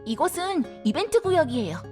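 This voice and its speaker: A woman acting in an audio drama as an excitable, kindly library director who guides a newcomer politely and with bubbly delight. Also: very high voice, a little fast, soft background music. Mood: bright, welcoming. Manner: bubbly, polite, gushing over small things.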